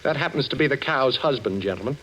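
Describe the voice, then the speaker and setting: A man's voice: mid-range voice, lively intonation, fast talker, a bit bright, close to an everyday voice, poor audio. A man acting a suave, wisecracking detective in 1940s radio style, glib and cool. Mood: wry, cool. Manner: wry, glib. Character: suave, wisecracking male ex-thief detective, cool under threat. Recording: old radio recording with faint noise.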